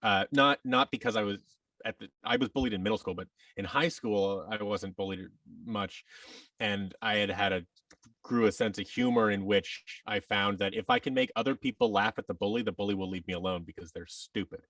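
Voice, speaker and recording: A man telling his story casually as a podcast guest, reflective and halting, often acting out bits of quoted dialogue. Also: lowish voice, fairly bright tone, no room sound, recorded from a distance. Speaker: podcast guest, actor and audio drama producer.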